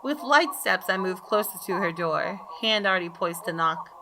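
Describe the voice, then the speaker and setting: A woman reading visual novel story text aloud in a measured, expressive, deliberate way. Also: on the low side. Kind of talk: dramatic narration of story text. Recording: clearly noisy background.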